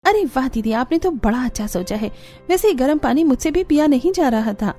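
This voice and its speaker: Woman playing an eager young daughter-in-law, high voice. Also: animated, a little fast, warm tone. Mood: surprised. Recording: music behind the voice.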